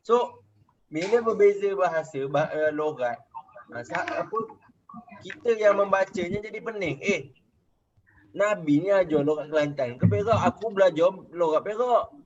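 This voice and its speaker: A man's voice: high voice, lively intonation, normal speed. A young adult man with a Malaysian Malay accent teaching children in an online religious class, patiently repeating questions and checking whether they understand. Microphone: video call. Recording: muffled lo-fi video call audio.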